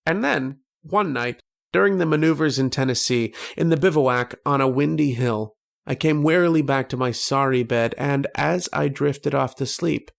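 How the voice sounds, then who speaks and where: An actor playing a lovestruck, haunted young man who tells of his dreams in a slow, wistful, literary style of old-time radio drama. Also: medium pitch, gravelly, close to an everyday voice. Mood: somber. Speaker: actor and narrator in a horror radio play.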